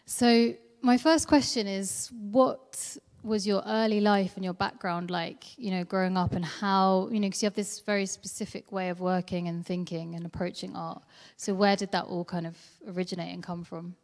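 A woman's voice: medium pitch, fairly even intonation, moderate pace, hardly any pauses, a little mellow. A woman hosting a stage interview into a handheld mic, friendly and a little hesitant, with many ums. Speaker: interview host. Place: large indoor event space at a museum, on stage. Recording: long hall reverb.

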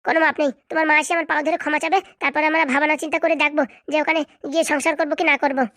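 A woman's voice: high voice, little pitch movement, rapid-fire, fairly bright tone, dry recording, studio quality. A woman playing an aggrieved, stubborn young wife, argumentative and emphatic, in a comic cartoon. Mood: angry, accusing. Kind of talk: comic cartoon drama dialogue.

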